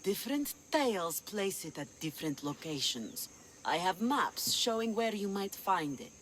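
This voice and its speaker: A woman's voice: mid-range voice, expressive, unhurried, a bit bright, slight background noise. A proud pirate chief who covets treasure in a video game. She speaks in an imperious, formal way, over faint insect-filled ambience. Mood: proud, acquisitive. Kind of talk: video game cutscene dialogue acting.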